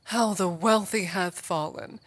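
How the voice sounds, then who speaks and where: A woman's voice: medium pitch, measured pace, close to an everyday voice. An actress in an audio drama playing a haughty, spiteful wealthy woman who talks in pompous, florid insults. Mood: haughty, needling.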